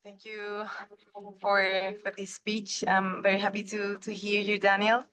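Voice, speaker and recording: A young woman from Chile with a Chilean Spanish accent and Spanish as her first language, asking a question on a video call, pausing often to find her words. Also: mid-range voice, completely flat delivery, a bit bright, rough recording. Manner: halting, searching for words. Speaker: workshop participant. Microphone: laptop or phone on a video call.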